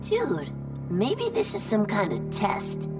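An actor playing a goofy, excitable teenage superhero slacker in a cartoon video game, slangy and comic. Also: male voice, sits high, slow pace, over loud music, full character voice. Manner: slangy, laid-back, comic.

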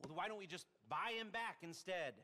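A man's voice: sits high, expressive, a little fast, pushed and bright. A man acting a neurotic, sarcastic middle-aged hacker who rambles, in a video game. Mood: nervous, grumbling. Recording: clear background noise.

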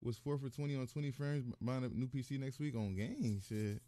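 A man's voice: mid-range voice, brisk, very noisy. A man chatting casually during gameplay in profane, repetitive slang.

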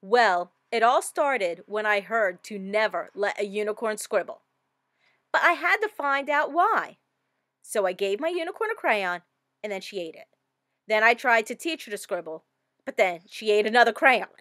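A woman reading a storybook as an earnest, excitable little girl, with dramatic expression. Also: medium pitch, moderate pace, dry recording, studio quality, lightly acted. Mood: flustered apology. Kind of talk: scripted storybook narration.

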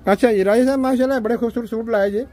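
A man's voice: very high voice, steady tone, rapid-fire, darkish tone. A man who runs a shopping vlog. He tours the stalls of a busy indoor bazaar, talks to the sellers and keeps saying mashallah. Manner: upbeat, says mashallah often. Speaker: vlogger touring shops. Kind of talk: walkaround shopping vlog chat.

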